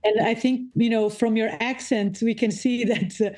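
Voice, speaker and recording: A woman from Portugal, hosting a podcast interview over a remote call, friendly and quick to laugh. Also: a little high, steady tone, faint music behind. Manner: friendly, laughs often, warm welcomes. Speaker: podcast host. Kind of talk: scripted intro then casual interview.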